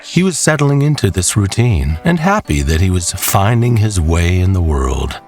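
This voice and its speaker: A man narrating a scripted horror tale in a dramatic, measured way with pauses. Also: sits low, sing-song, a little mellow. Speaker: storyteller. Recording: music behind the voice. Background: clear background music.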